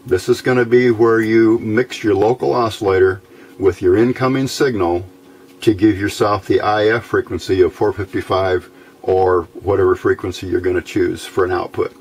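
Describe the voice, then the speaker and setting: A man giving an informal, unscripted hands-on tutorial in a plain-spoken, halting way, recorded indoors at a workbench on a camera mic. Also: on the low side, steady tone, a little mellow, breathy edge. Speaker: host of a hands-on electronics tutorial.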